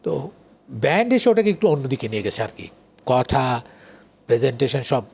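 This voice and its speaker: A man's voice: mid-range voice, sing-song, normal speed, gravelly, darkish tone, slightly rough. An older man with a Bangladeshi accent talks casually as an interview guest, explaining in an engaged, conversational way and checking that the listener follows. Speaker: guest, song lyricist and writer.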